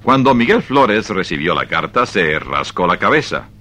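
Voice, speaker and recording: A male narrator tells a scripted story for radio theatre. His voice is low with a bright, forceful edge. The delivery is animated, moving widely in pitch. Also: moderate pace.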